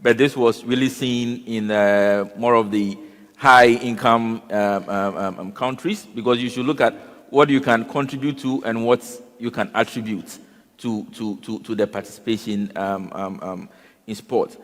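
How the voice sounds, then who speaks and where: A man speaking on a conference panel, often pausing with fillers and repeating words. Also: mid-range voice, fairly even intonation, fairly bright tone. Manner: halting, repeats words and fills with "you know" and "um". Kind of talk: panel discussion. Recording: long hall reverb.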